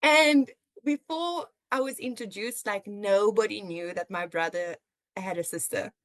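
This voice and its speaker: A young woman with a South African accent, chatting casually as a podcast host and telling stories in an animated, chatty way. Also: medium pitch, measured pace, dry recording. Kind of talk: casual chat with a friend.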